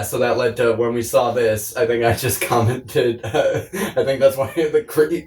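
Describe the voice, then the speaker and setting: A man's voice: medium pitch, a little mellow, slight background noise. A man co-hosting a casual film podcast, talking in a rambling, profane, jokey way. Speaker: podcast co-host. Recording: echoey room, distant from the mic.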